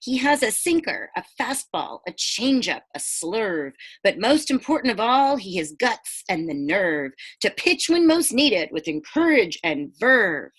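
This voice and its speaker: A woman teacher reads a bedtime picture book to young children in a bright, mid-pitched voice. Her delivery is expressive and aimed at children. She moves between reading aloud and chatty asides that explain things or put questions to her listeners. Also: a little slow, few pauses, breathy edge.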